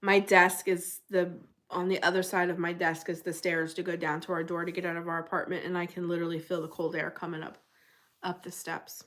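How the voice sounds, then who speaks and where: A woman's voice: level tone, fairly quick, a bit bright. A woman from New Jersey with a Jersey accent, casually chatting on a livestream from her apartment desk and reacting to viewer comments, her nose running from a cold. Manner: chatty, rambling, reacts to chat with sudden high exclamations. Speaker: livestream host.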